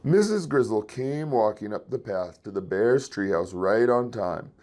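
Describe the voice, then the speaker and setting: A man reading a children's story aloud in an expressive storytelling style. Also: lowish voice, measured pace. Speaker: children's book reader.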